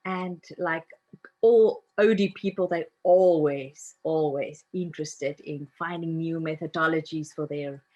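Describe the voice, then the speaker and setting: Thoughtful South African woman, lower voice. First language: Afrikaans. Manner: thoughtful, with frequent fillers and soft laughs. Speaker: guest, narrative coach. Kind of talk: reflective interview conversation.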